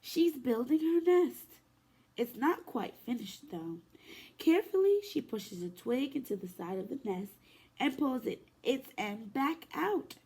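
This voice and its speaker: A woman preschool teacher reading a picture book aloud to young children in an expressive, sing-song way. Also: sits high, a little slow, breathy edge. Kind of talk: children's story time read-aloud.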